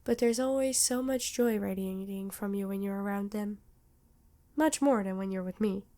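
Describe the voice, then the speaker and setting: A woman voicing a worried, protective vampire queen in an ASMR roleplay, earnest and measured, with dramatic pauses. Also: mid-range voice, dark tone, close to an everyday voice. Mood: tender, wistful. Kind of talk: ASMR roleplay acting addressed to listener.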